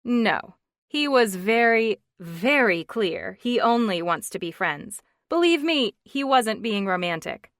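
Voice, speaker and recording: A woman's voice: on the high side, lively intonation, takes it slowly, fairly bright tone, no room sound, pitched above the performer's own voice, exaggerated voice. A woman voicing a frustrated woman in her thirties, wry and exasperated, in a dry, close reading. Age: 30s.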